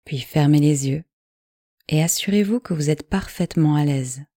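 A woman guiding a yoga nidra relaxation in calm, measured, soothing short phrases. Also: low-pitched, no room sound, clean studio sound. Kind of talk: guided relaxation meditation, slow instructions.

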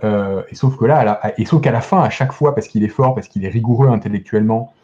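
A man's voice: on the low side, fast talker, warm tone, dull audio. A man in a casual podcast discussion as a guest critic, hesitating and repeating words as he searches for them.

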